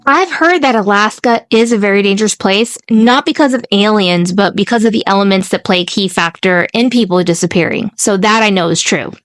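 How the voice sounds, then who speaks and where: A woman's voice: medium pitch, brisk, hardly any pauses, a bit bright, dry recording, soft background music. A middle-aged woman co-hosting a casual podcast, effusive and emphatic, often repeating herself for stress. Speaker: podcast co-host reacting to the story.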